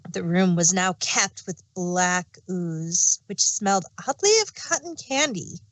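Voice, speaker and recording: A woman's voice: lowish voice, animated, takes it slowly, no echo. A woman reading fan fiction aloud as an amateur storyteller and narrator.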